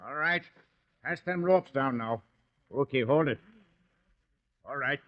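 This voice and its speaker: An actor playing a brisk, take-charge policeman in an old-time radio drama. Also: medium pitch, lightly acted. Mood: brisk, commanding. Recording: muffled vintage radio recording.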